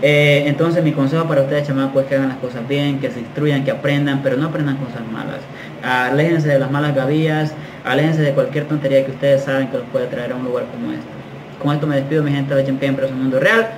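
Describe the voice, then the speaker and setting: Animated man in his thirties, echoey room. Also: medium pitch. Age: 30s. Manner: animated, gestures a lot, slangy and frank. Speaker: vlogger. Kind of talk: casual storytelling to camera. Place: small bare tiled room indoors. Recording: echoey room, faint background noise.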